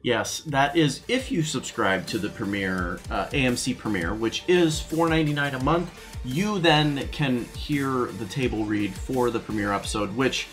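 A man's voice: medium pitch, expressive, normal speed, a bit bright. A man chatting casually as co-host of a fan news channel. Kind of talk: casual show chat. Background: background music.

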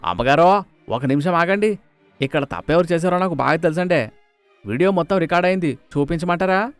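A man voicing a cheeky, outspoken household servant in an animated moral story, with a folksy, taunting manner. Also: fairly high pitch, fairly quick, clear, resonant tone, no echo, soft background music, voice pushed up, lightly acted. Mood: defiant.

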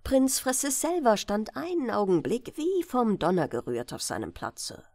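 Slow, expressive woman reading fairytales. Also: a little high, dry recording. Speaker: audiobook narrator.

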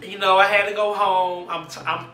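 A man in his thirties talks openly and chattily to camera, poking fun at himself. His voice is high and bright. It sounds slightly distant in an echoey room, with faint music underneath. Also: level tone, normal speed. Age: 30s. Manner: candid, chatty, self-mocking. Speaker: reality show cast member. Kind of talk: confessional to camera.

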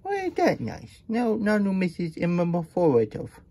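A man voicing a childlike, good-natured hedgehog plush puppet with a husky voice, reading a picture book with halting, folksy asides. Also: high-pitched, huge pitch swings, warm tone, exaggerated voice. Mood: pleased, musing. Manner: speaks of self in third person, halting, folksy. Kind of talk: puppet storytime read-aloud with chatty asides.

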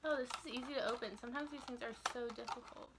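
A woman's voice: fairly high pitch, steady tone, racing, heavy background noise. A young woman chatting casually to camera in a bedroom, rambling and drifting off into asides as she shows her shopping. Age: young adult. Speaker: vlogger. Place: small bedroom, indoors.

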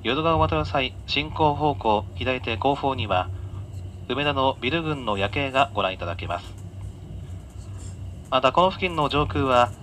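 A man working as a train conductor, giving polite, formal announcements and sightseeing guidance to passengers in a measured way. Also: mid-range voice, lively intonation, fairly bright tone, rough recording. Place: inside a moving train, over the announcement system. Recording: on-board PA captured amid train noise. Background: faint train running noise.

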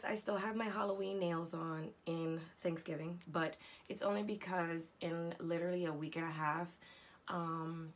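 A young woman talks through her makeup stash in a hoarse, raspy, slightly low voice. Her manner is chatty and full of slang. The recording is from a home beauty room, with faint background hiss. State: hoarse, lost voice. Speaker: beauty vlogger. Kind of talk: casual chatty product talk.

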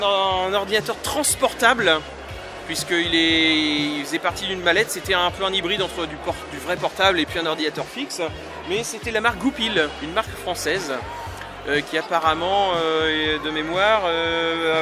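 Man, thirties, high-voiced, rambling explainer at a noisy expo stand with music playing behind. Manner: explanatory, many fillers and restarts. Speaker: retro computer exhibitor. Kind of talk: casual explanation at a convention stand. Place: busy convention hall stand, indoors. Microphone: handheld recorder held by the interviewer.

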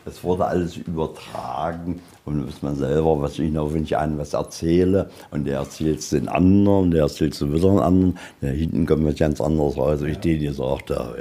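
A man with a husky voice giving an informal, explanatory guided tour as a museum guide. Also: very deep voice, mellow. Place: indoors in a museum.

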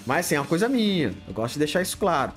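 A Brazilian man in his fifties talks straight into a desk webcam in a home studio room, blunt and plain-spoken. His pitch swings a lot as he greets chat viewers. Faint music plays in the background. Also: sing-song, rapid-fire, fairly bright tone. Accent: Brazilian Portuguese. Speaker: livestream host answering viewer questions. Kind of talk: casual live chat. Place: home studio room lit with colored LEDs.

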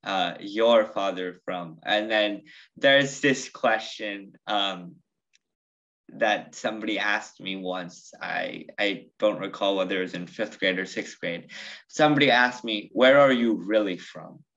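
A man's voice: lowish voice, unhurried, fairly bright tone. A teenage boy with a slight Indian accent, telling a personal story on a video call, reflective and full of ums. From United States, raised partly in India. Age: teens. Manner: reflective, with many ums and restarts. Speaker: student guest. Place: bedroom at home. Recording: muffled video call audio.

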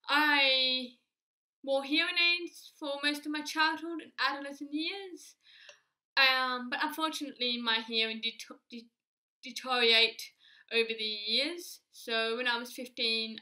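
Young woman, twenties, steady and sincere to camera; sharp, bright tone, slightly raised pitch. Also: poor audio. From Australia. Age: 20s. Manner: earnest, measured, steady delivery. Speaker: alumni speaker. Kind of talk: prepared personal speech to camera. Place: small indoor room against a plain wall.

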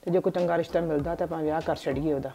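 A woman playing a practical village mother who gives her son steady instructions. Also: low-pitched, very fast, creaky. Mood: instructing. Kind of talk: village comedy sketch acting.

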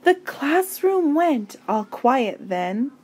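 A woman reading a children's picture book aloud, with deliberate, dramatic phrasing and emphatic pauses. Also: sits high, sing-song, darkish tone. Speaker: storybook reader.

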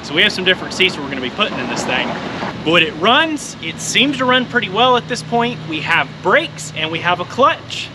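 A man, narrating a car vlog outdoors in a laid-back, excited way and laughing often. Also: high voice, fairly quick. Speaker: car restoration vlogger. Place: outdoors in an open car on a road. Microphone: camera mounted on the car. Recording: loud engine noise outdoors. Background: engine noise.

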